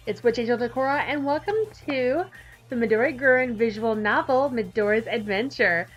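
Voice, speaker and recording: A woman's voice: a little high, normal speed, dull audio. A woman hosting a gaming channel with casual, chatty, giggly and enthusiastic playthrough commentary.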